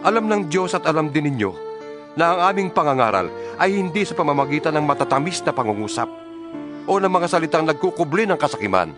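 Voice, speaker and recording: A man's voice: on the high side, sing-song, fast, music in the background. A man with a Filipino accent giving a solemn, earnest dramatized reading of scripture as a scripted narrator.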